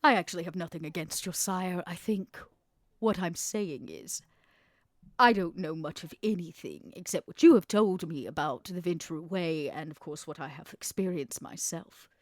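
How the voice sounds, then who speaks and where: A woman acts out a shrewd female vampire with a low voice, close and dry. She speaks in measured phrases with pauses, guarded and dryly intimate, in the manner of a roleplay podcast. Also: animated, no echo, close to an everyday voice. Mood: wry, persuasive. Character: Vera, guarded, shrewd female vampire proposing business partnership. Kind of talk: tabletop roleplay podcast acting.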